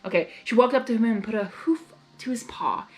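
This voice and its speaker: A woman reading fan fiction aloud as narrator, expressive and excitable, with casual reactions. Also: fairly high pitch, a little fast, faint music behind.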